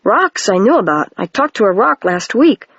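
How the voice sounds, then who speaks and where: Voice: mid-range voice, very animated, normal speed, fairly bright tone, breathy edge, no echo, pitched above the performer's own voice, full character voice. A reader voicing a shy, earnest young boy who is a wizard, in a mildly raised version of their plain reading voice, on a dry, dull lo-fi home recording.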